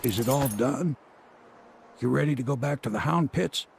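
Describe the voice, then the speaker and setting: A man's voice: medium pitch, normal speed, creaky, a little hiss or hum, natural voice. A man playing a humble, weary working-class boatman in a video game, plain-spoken and reflective. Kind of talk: video game character acting. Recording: loud music behind the voice. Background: music.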